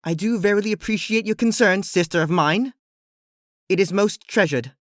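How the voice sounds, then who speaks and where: A storyteller voicing a proud, flustered pony princess of the night with a formal, archaic, booming manner. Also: moderate pace, no room sound, clean studio sound, lightly acted. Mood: nervous reassurance.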